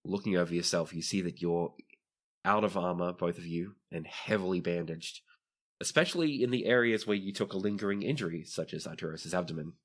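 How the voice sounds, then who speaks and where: A man's voice: sits low, a little fast, fairly bright tone. A man narrating a tabletop roleplaying game as the game master.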